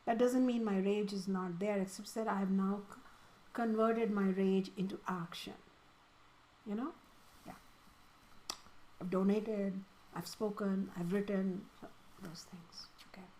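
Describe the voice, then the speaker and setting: A woman's voice: medium pitch, fairly even intonation, unhurried, long pauses, dark tone, a little hiss or hum, rough recording. A woman from India with an Indian accent, a spiritual teacher answering student questions in an earnest, emphatic way.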